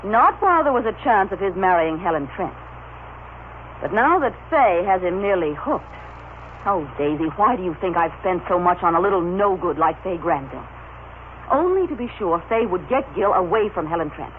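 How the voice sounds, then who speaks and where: A woman playing a cold, calculating, sharp-tongued society wife in an old-time radio soap opera. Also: fairly low pitch, very animated, moderate pace. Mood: haughty, smug. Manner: haughty, sharp-tongued, imperious. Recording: scratchy, narrow-band old radio recording.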